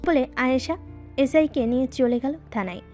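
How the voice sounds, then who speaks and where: A woman with a fairly high voice narrates a scripted romantic story. She speaks as the storyteller, with faint music playing underneath. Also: moderate pace, dark tone.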